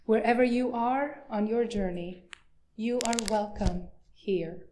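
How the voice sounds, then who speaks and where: A woman leading worship, reading a call to worship in a measured, deliberate way. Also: on the high side, a little mellow, slight background noise. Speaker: worship leader. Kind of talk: read call to worship at a church service.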